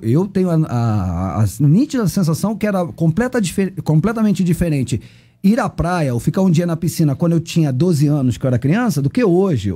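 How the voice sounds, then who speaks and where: Lively high-voiced man radio host. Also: breathy edge. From Rio de Janeiro. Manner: lively, teasing. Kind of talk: joking radio chat. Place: radio studio.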